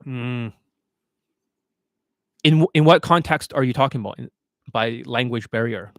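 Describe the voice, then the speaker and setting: A man's voice: mid-range voice, unhurried, fairly bright tone, no echo. Blunt male dating coach, dry close sound. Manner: blunt, didactic, often checking with rhetorical questions. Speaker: dating coach and livestream host. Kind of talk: livestream question-and-answer talk.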